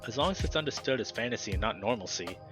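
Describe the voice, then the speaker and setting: A man's voice: on the low side, expressive, quick pace, music in the background, close to an everyday voice. A man voicing a frank, thoughtful young anthropomorphic furry woman from a visual novel, read in a blunt, matter-of-fact way. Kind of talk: reading game dialogue aloud.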